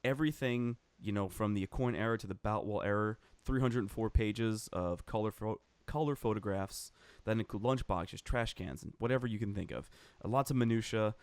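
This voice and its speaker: A man's voice: on the low side, brisk, few pauses, a little mellow, clean tone, no echo. A man co-hosting a casual podcast chat, eager and full of fillers. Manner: enthusiastic, filler-heavy. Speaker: podcast co-host.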